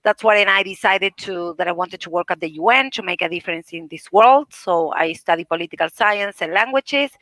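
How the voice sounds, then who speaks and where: Bright-voiced woman with an Argentine accent. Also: lowish voice, fairly quick, hardly any pauses, dry recording. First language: Spanish. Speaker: panel guest, international civil servant. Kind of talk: conversational self-introduction.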